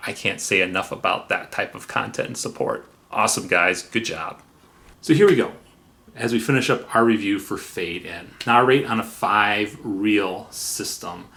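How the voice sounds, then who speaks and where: Low-voiced man reviewing software. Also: moderate pace. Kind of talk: semi-scripted review narration.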